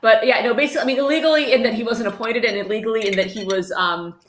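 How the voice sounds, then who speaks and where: A woman's voice: on the high side, rapid-fire, crowd noise. A woman hosting a livestream, chatting casually and reading passages aloud with sardonic commentary and free swearing. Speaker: livestream host reading a book aloud for charity. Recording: roomy, echoey sound.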